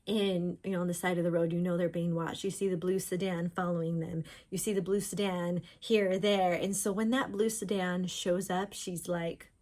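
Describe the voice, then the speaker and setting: A woman author talking informally about books, in an animated storytelling way. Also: on the low side, moderate pace, hardly any pauses. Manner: animated storyteller, voicing characters' thoughts in quotes. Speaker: author discussing own books. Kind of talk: chatty informal book talk.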